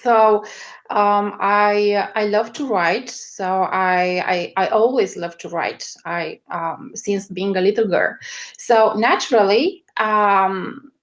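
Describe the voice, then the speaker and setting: Slow, hesitant woman with a Romanian accent. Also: medium pitch. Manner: hesitant, searching for words with many fillers. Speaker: guest, media strategist. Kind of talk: interview answers.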